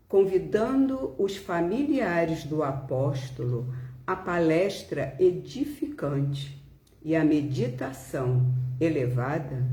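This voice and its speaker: A Brazilian woman delivers a spiritual reading and reflection. Her voice is soft-edged and mellow, and she moves extremely slowly, leaving deliberate pauses between phrases. The recording is noticeably roomy, with an audible echo. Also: low voice. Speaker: spiritist speaker. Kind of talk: devotional reading and reflective talk. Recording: roomy, echoing home recording.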